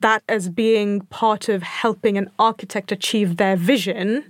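A woman's voice: medium pitch, fairly even intonation, unhurried, no echo. A woman hosting a podcast in a studio, an engineer explaining her work in an enthusiastic, chatty way. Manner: enthusiastic, laughs easily. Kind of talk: casual podcast conversation.

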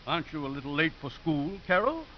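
A gentle old priest with very expressive, rising and falling speech. He is courteous and dryly funny in a 1950s radio play, on a dull recording with faint hiss. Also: on the high side, fast talker. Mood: gently wry.

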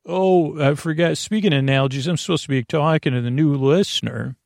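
A man with a creaky voice hosting a bedtime podcast, soothing and whimsical, meandering and halting as he interrupts himself. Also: fairly high pitch, darkish tone, no echo, clean studio sound. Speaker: podcast host. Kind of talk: rambling bedtime monologue.

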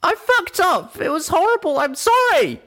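Little girl pony, voiced by a man: pitched far up, anxious, pleading and earnest storybook heroine. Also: lively intonation, a little fast, fairly bright tone. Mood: sobbing, distraught. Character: small young female pony, anxious, earnest. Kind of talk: audiobook character voice.

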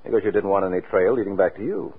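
An actor playing a quick-witted, self-assured, flirtatious American private detective in a 1940s radio drama, wry and smooth-talking. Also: male voice, low voice. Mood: confident, wry. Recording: tinny, muffled old radio recording, music.

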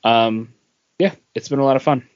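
A man's voice: medium pitch, normal speed, clean tone, no room sound. A man talking casually and conversationally as a co-host on a podcast. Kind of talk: casual chat. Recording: dry, dull lo-fi audio.

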